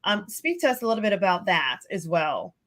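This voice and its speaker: A woman's voice: mid-range voice, very animated, brisk, a bit bright. A woman hosting a podcast interview, who asks questions and responds with short affirmations. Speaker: podcast host.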